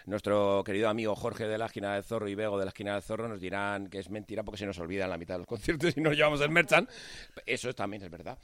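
Madrid guy in a band chatting in an interview, low voice, talks really fast, jokey. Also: fairly bright tone. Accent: Madrid Spanish. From Spain, Madrid. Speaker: musician, band member interviewed. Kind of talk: casual interview chat.

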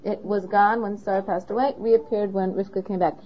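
A woman's voice: medium pitch, fairly even intonation, brisk, a little hiss or hum. A woman teaching a Torah lesson, asking questions as she explains. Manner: asks the listener questions, patient. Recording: dull lo-fi recording.

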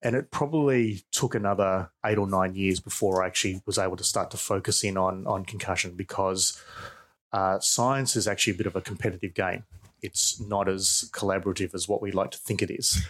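A middle-aged Australian man with a fairly low voice. He speaks as an expert guest, explaining his field in an informal, conversational way. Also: steady tone, fairly quick, fairly bright tone. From Perth, Australia. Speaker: expert guest, professor and researcher.

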